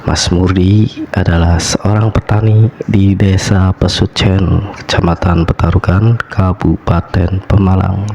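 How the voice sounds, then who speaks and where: A man with a deep voice and a Javanese accent narrates spooky tales as a radio-style host. He takes his time, speaking slowly and sounding a little hoarse from a cold. Faint noise and ambience sit behind him. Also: flat delivery, warm tone, dull audio. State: slightly hoarse from a cold and cough. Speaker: host of a horror story show. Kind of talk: radio-style horror storytelling.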